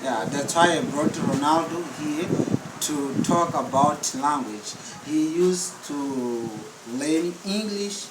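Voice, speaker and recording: A man's voice: on the high side, background noise. Mozambican man chatting, distant and echoey. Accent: central Mozambican. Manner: unhurried, switching between English and Portuguese, frequent fillers. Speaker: vlog host. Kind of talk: casual chat.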